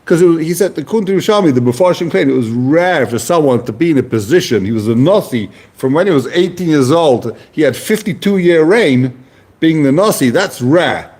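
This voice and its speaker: Man, Talmud teacher, relaxed explaining tone, mixes Hebrew terms into English. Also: on the high side, brisk. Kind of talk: casual Talmud lecture.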